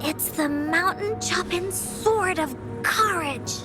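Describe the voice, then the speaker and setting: A woman's voice: very high voice, slow pace. A woman voicing a spirited, brave little girl adventurer in a video game, eager and dramatic in a childlike way. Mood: curious. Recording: music behind the voice.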